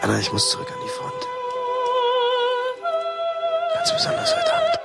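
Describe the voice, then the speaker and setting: An actor playing a soldier in a war film, in dramatic dialogue. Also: male voice, very high voice, moderate pace, gravelly. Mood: urgent, tender. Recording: film clip under loud music. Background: loud music.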